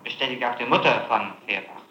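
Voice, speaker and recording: Sharp-voiced male interrogator on dull echoey tape. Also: mid-range voice, brisk. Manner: pressing, confrontational questioning. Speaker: public prosecutor interrogating. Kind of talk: formal interrogation. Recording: dull, echoey, noisy 1960s archival tape.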